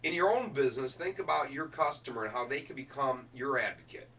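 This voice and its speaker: A man delivering a motivational business keynote speech in an emphatic, deliberate way, punching key words as a speaker. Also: mid-range voice, bright, forceful tone. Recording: distant microphone with faint noise and hum.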